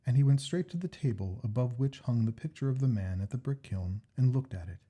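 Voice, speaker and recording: Guy reading an old ghost story out loud, soft dark husky voice, measured n expressive. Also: mid-range voice. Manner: measured, expressive, deliberate phrasing. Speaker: story reader. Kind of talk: scripted narration of a classic ghost story.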